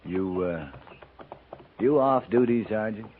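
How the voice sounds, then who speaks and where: Dry, wary cavalry captain, noisy radio. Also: male voice, fairly low pitch, slow pace. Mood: dry, knowing. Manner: dry, clipped, sardonic. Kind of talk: 1950s western radio drama acting. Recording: tinny, noisy 1950s radio recording.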